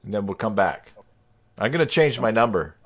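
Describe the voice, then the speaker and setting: A man's voice: fairly low pitch, sing-song, moderate pace. A man hosting a live stream, chatting informally and jokingly with a group on a video call.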